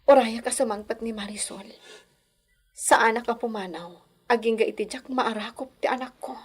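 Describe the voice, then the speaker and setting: Woman playing anxious mom in a radio drama, highish breathy voice, pleading and earnest. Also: normal speed. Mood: determined, pleading. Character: anxious, pleading mother longing for her children. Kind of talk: Ilocano radio drama acting.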